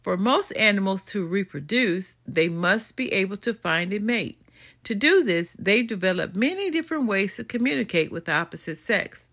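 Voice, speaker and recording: An older woman reading a children's nonfiction book aloud as a storytime host, in an expressive, deliberate way. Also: medium pitch. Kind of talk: storybook read-aloud narration.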